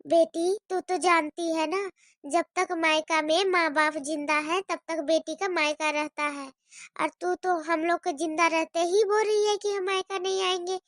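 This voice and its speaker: A woman voicing an old mother who gently pleads with her married daughter to visit. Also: very high voice, steady tone, normal speed, dry recording, clean studio sound. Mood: pleading.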